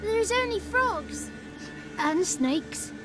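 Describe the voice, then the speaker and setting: Very high-voiced cheeky peasant child. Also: female voice, sing-song, very slow, heavily acted, poor audio. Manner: blunt, rustic ungrammatical talk. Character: cheeky, blunt peasant orphan child in a swamp. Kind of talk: video game character acting. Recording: music behind the voice.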